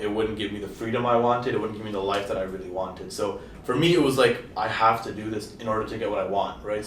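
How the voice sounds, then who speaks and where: A man's voice: fairly low pitch, slight background noise. A nineteen-year-old man with a Canadian accent hosting a casual group chat, talking loosely with many "like"s. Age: young adult. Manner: quick, loose talk full of "like" and "right?". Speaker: host. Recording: echoey room, mic at a distance.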